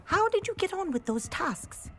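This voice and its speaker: A woman voicing a brisk female flying teacher who encourages a student and gives lessons. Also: very high-pitched, sing-song, a little hiss or hum. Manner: brisk, instructive. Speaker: flying professor.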